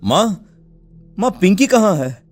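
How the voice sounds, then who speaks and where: A man's voice: high voice, sing-song, slow pace, faint music behind, full character voice. A man playing an anxious, grieving village father in a cartoon story, pleading and distressed. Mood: worried, distressed. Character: anxious, grieving village father searching for his lost daughter. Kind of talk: Hindi cartoon story acting.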